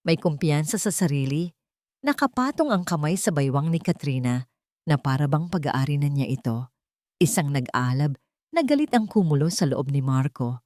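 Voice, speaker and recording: A woman's voice: low voice, a little mellow, dry recording, studio quality. A woman narrating a dramatic romantic audio story.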